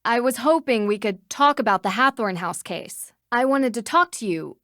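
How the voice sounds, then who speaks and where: A woman's voice: on the high side, expressive, moderate pace, a bit bright, no echo, clean studio sound. An actress playing a confident, polite young female sleuth in a video game, speaking in a composed, direct way. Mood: polite, persistent. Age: young adult.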